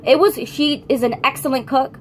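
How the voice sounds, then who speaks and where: A woman's voice: high-pitched, normal speed, a little hiss or hum. Chatty young American woman vlogger. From United States. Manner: rambling, chatty, frequent self-corrections. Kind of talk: casual talk to camera.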